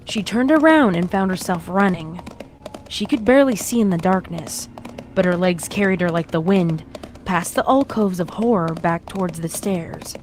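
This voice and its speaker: A woman's voice: fairly low pitch, lively intonation, moderate pace, a little mellow. A woman reading a horror story as its narrator, in a dramatic scripted style. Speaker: fan fiction narrator. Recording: music behind the reading.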